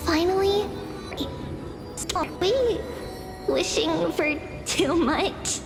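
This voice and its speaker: A woman's voice: very high voice, extremely slow, gravelly, full character voice. A woman voicing a sweet, playful little girl mentor in a fantasy game, tender and wistful. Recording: long hall reverb under music.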